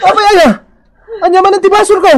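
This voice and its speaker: A man with an Ilocano accent playing an exasperated, henpecked husband who complains excitably in a radio comedy drama. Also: extremely high pitch, quick pace. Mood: indignant, protesting. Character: exasperated, henpecked husband wrongly accused of cheating.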